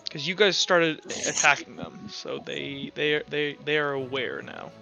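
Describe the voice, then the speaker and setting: A man's voice: on the high side, big pitch swings, measured pace, vocal fry, bright, forceful tone, highs cut off, soft background music. A man acting as game master, casually narrating a tabletop roleplaying game.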